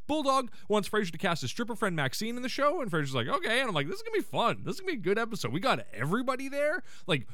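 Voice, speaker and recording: A man hosting a podcast, giving a casual, slangy comedic recap in an excitable way and acting out quotes. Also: high-pitched, racing, few pauses, no room sound, clean studio sound. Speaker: podcast host.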